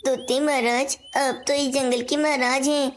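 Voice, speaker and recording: Woman as a very high-voiced little cartoon bird. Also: little pitch movement, unhurried. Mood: pleading. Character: obliging, respectful small female bird. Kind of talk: Hindi cartoon voice acting.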